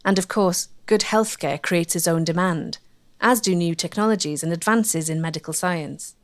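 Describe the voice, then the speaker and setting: A woman's voice: lowish voice, normal speed. A woman reading scripted documentary narration as the narrator.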